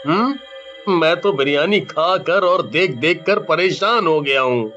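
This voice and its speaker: A man's voice: a little high, animated, unhurried, bright, forceful tone, faint music behind. A man voicing a jovial but scheming village cook and husband in a cartoon, drawling and blustery, on dull audio. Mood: weary complaint.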